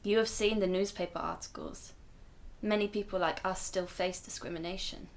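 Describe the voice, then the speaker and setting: A woman playing an earnest, protective grandmother in a radio drama, speaking in a measured, explanatory way. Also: medium pitch, fairly even intonation, natural voice. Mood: earnest, reassuring.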